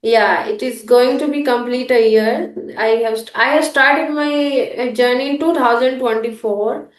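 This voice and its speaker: A woman's voice: a little high, measured pace. Hesitant Indian English woman, echoey room. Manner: hesitant, many fillers and restarts. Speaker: English learner guest. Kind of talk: practice conversation.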